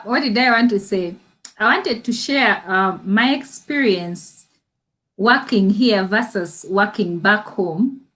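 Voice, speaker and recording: A woman's voice: medium pitch, a bit bright. A woman from Uganda co-hosting a livestream, chatting about her own experience and laughing often. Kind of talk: casual chat about personal experience.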